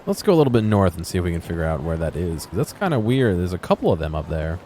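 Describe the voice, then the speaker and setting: A man's voice: sits low, very animated, fast, mellow, a little hiss or hum. A man giving casual, unscripted gameplay commentary, thinking aloud as he explores. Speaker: video game explorer and commentator.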